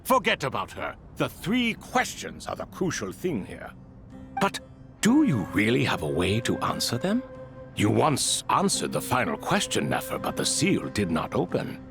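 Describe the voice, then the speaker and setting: An actor playing an ancient, wry and chatty spirit lord in a video game, with creaky, theatrical, old-fashioned politeness. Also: male voice, medium pitch, wildly swooping pitch, moderate pace, over music. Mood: placating, grave. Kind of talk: video game character acting.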